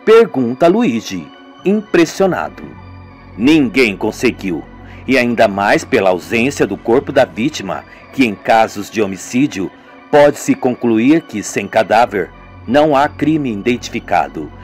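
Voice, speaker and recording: Male, Brazilian accent, mid-pitched, very animated theatrical storytelling, radio soap narration, light music behind. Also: a little slow. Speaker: narrator of an amateur radio serial, doing all the parts.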